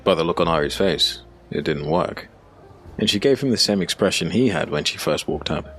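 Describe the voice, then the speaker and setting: A man reading scripted dark fantasy narration in a measured, dramatic, gravelly voice. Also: low voice, animated. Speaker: audiobook narrator.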